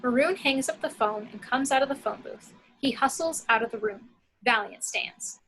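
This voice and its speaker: Bright, high-voiced woman narrating a script. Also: normal speed, slight background noise, rough recording. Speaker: action-description reader.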